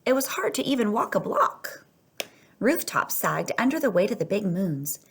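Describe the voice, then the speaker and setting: A woman's voice: medium pitch, big pitch swings, normal speed, fairly bright tone, slightly rough. A woman reading a children's picture book aloud, telling it expressively and stretching words for emphasis. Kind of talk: read-aloud children's story narration.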